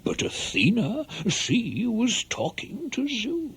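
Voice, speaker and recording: A man's voice: very high voice, wildly swooping pitch, takes it slowly, slightly rough. A man narrating a scripted radio play as a dramatic, theatrical storyteller.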